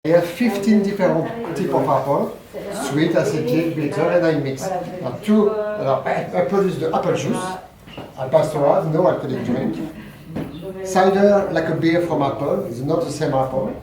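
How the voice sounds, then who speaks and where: A man with a French accent, a cider producer explaining his work to visitors in simple, halting English. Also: fairly high pitch, a loud crowd. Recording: far-off echoey voice with loud background noise.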